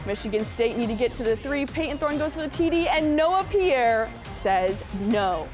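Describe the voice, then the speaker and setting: Young female sportscaster, high voice, scripted highlight reads, light music underneath. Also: normal speed. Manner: punchy, excited calls on big plays, wry asides. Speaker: sports anchor.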